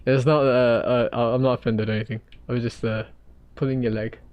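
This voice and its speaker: A man talks casually with his chat while he sketches on a livestream. His voice sits at a middling pitch. The sound is dry, with no room echo, and his speech stops and restarts often. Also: flat delivery, muffled recording. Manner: halting, full of restarts. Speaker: livestream host.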